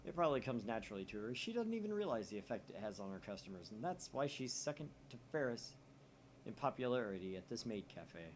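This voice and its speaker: A man's voice: mid-range voice, very animated, fast, slight background noise. Man reading a visual novel aloud. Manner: wry, reads narration with commentary. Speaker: let's-play host.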